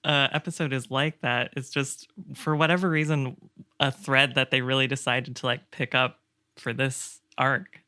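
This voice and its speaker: A man's voice: medium pitch, steady tone, moderate pace, sharp tone, clean tone, no room sound, clean studio sound. A man in a casual podcast discussion, musing as he goes.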